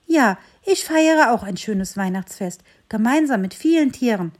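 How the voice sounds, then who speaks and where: A woman voicing a small, kind and calm fir tree that gently talks a friend round, in a children's story. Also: on the high side, measured pace.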